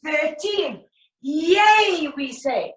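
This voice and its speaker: Woman, maths teacher, croaky, brisk, roomy video call sound. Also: sits high, lively intonation, a bit bright, distant mic. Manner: brisk, encouraging teacher talk. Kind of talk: online class lesson. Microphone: laptop video call.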